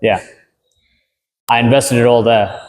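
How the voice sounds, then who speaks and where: Man, gym owner, casual interview answers, halting and repetitive, light background noise. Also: medium pitch, steady tone. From Kenya. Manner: hesitant, repeats words while thinking.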